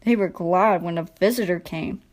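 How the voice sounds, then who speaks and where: A woman's voice: fairly low pitch, normal speed, darkish tone. A woman narrating a scripted children's storybook.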